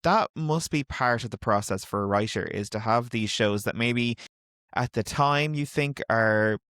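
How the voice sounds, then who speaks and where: A man hosting an interview, relaxed and teasing, asking questions with easy fillers. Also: medium pitch, unhurried, clear, resonant tone, no room sound, studio quality. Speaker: interview podcast host. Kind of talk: interview conversation.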